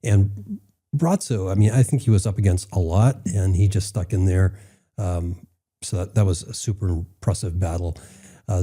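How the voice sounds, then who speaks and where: A man's voice: low-pitched, warm tone. A man hosting an interview, asking questions in an enthusiastic, chatty way. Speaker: podcast host.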